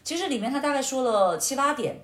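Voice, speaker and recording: A young woman chatting casually and telling personal stories in an animated way, in short phrases. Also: high voice, fast. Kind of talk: casual conversational storytelling. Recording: roomy, echoey sound.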